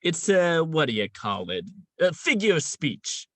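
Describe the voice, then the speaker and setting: A young man acting in a comic radio play as a gruff, jaded old police sergeant, crude and rambling in his slangy talk. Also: on the high side, big pitch swings, a little slow, fairly bright tone, no echo. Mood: rambling, nonchalant. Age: young adult. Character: gruff, jaded veteran male police sergeant near retirement.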